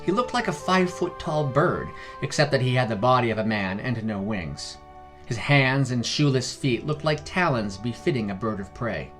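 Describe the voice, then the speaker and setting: A man's voice: mid-range voice, animated, moderate pace, a bit bright, faint music behind. A man reading a scripted fantasy story as its narrator.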